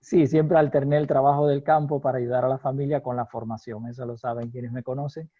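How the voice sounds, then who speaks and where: A Cuban man from Holguín, a law professor, answering interview questions in a measured, hesitant way, with many fillers and frequent laughs. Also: mid-range voice, flat delivery, dry recording, narrow, tinny audio. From Cuba, Holguín.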